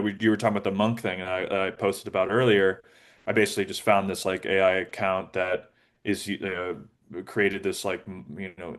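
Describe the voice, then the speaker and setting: Low-pitched man in a conversational interview. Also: a bit bright. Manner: informal, halting, frequent "like" and "you know". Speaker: interview guest, app founder.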